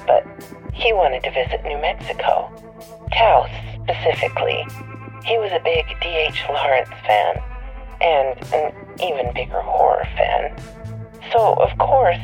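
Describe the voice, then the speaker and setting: Hesitant woman caller, dull lo-fi sound. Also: low-pitched. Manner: hesitant, self-correcting. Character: reluctant, anonymous woman caller recounting losing her husband. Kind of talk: conversational phone-in monologue. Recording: dull lo-fi tipline recording. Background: faint music.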